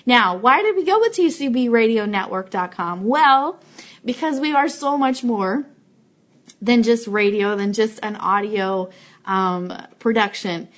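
Woman, fairly high voice, livestream host, chatty, informal announcements to viewers. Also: animated, unhurried. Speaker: host of an Elvis fan live show. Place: office.

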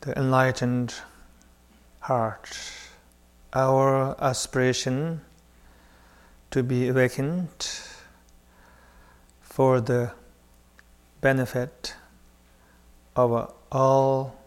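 A man leading a guided meditation, speaking in short phrases with long pauses between them. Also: medium pitch, flat delivery, smooth. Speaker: meditation teacher.

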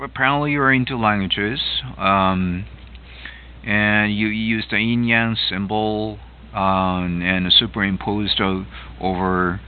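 A middle-aged man from Japan, speaking English as a second language in a relaxed chat, with many fillers and restarts. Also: on the low side, slow pace, slight background noise. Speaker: host. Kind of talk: casual conversation. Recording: phone-like narrow audio line.

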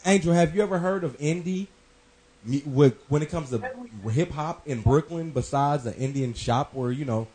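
A man co-hosting a casual podcast, loud, profane and teasing as he banters with guests. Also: on the high side, normal speed, breathy edge, poor audio. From Kansas. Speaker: co-host.

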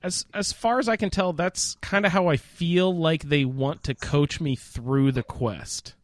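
A man's voice: on the high side, a little slow, no echo. Informal man with a dry, close sound. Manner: informal, mildly profane. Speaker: podcast panelist. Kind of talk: casual gaming roundtable chat.

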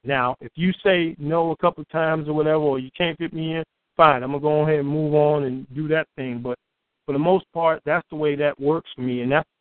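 A man from Chicago, a poet and storyteller, telling his story in an interview with a booming voice and an outspoken, repetitive manner. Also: no room sound. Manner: outspoken, repeats words when excited. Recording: tinny phone-like line, dry.

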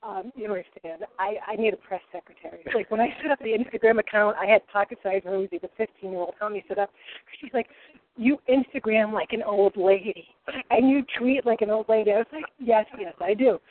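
A woman's voice: medium pitch, a little fast. A woman in her forties with a Rhode Island accent, a guest cook chatting in a boisterous, rambling way. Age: 40s. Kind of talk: casual chat. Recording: tinny phone-like sound.

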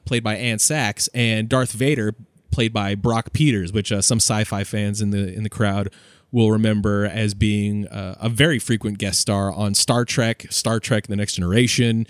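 A man's voice: lowish voice, fairly even intonation, moderate pace, no echo. A man in casual fan discussion as a podcast host.

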